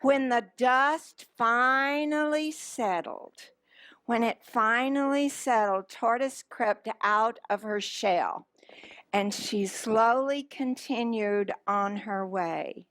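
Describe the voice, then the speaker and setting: A woman reading a picture book aloud to children as a story time host, with dramatic, drawn-out emphasis and questions to her listeners. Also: a little high, a bit bright, no echo.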